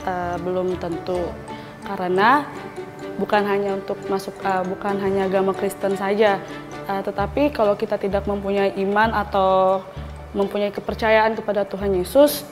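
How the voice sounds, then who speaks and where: A woman's voice: mid-range voice, level tone, normal speed. A young woman in her late teens answering an interview question hesitantly. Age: young adult. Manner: hesitant, with fillers. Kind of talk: street vox pop answer. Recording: music playing under voice.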